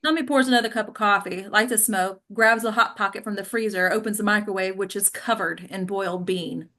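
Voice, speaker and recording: A woman's voice: mid-range voice, fairly even intonation, a little fast, sharp tone. A woman reading stage directions as scripted narration at a table read.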